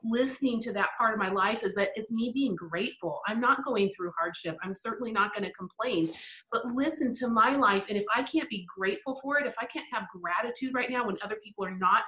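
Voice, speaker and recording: A middle-aged woman talks in an animated, reflective way, breaking into laughter now and then. Her voice is bright and forceful. The room around her rings with echo. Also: on the high side, brisk. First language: English. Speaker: guest. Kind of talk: interview conversation.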